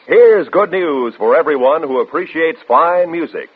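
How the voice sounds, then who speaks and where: A man's voice: fairly high pitch, expressive, normal speed, husky. An American man reading a scripted 1950s radio commercial in an emphatic, salesmanlike way. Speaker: commercial announcer. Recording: tinny old radio broadcast.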